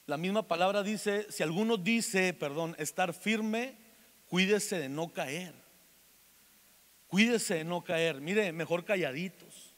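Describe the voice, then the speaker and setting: A man's voice: high-pitched, takes it slowly, in a large hall. A man in his forties with a Mexican accent, preaching a sermon and mixing jokes and stories with earnest calls to forgive. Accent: Mexican Spanish. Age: 40s. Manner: joking storytelling between earnest exhortation. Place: church meeting hall.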